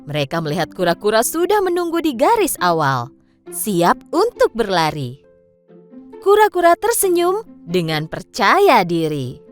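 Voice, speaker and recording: A woman narrating a children's cartoon story in an expressive, sing-song storytelling manner. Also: medium pitch, a little slow. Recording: faint music behind. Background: faint background music.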